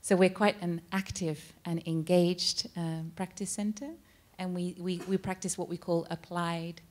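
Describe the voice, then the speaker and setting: A man, a monk, calmly answering audience questions at a cinema Q&A, unhurried and with frequent pauses and fillers. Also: high voice. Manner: calm, unhurried, with many ums and uhs. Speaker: monk at a practice center. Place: cinema stage at a Q&A. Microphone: handheld mic.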